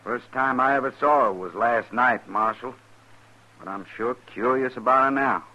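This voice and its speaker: Proud, forceful cowboy. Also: male voice, mid-range voice, normal speed. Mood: earnest. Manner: plain-spoken, stubbornly earnest. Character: proud, rough but honest Texan cowboy. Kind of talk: 1950s radio western acting. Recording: dull 1950s radio recording.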